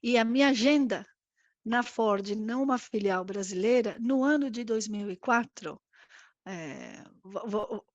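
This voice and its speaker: A woman in her fifties with an Argentine accent and Spanish as her native language, giving a conversational, reflective interview answer as a guest, often pausing to search for words. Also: on the high side, lively intonation, dry recording, highs cut off. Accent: Argentine accent in Brazilian Portuguese. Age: 50s. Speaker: interview guest, risk management executive.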